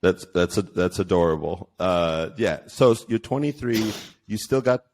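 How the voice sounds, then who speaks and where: A man with a lowish voice talks in a loose, rambling way. He restarts his sentences often and teases the others in the group. It sounds like a relaxed podcast conversation. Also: moderate pace. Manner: rambling, many restarts, teasing. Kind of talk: casual panel chat.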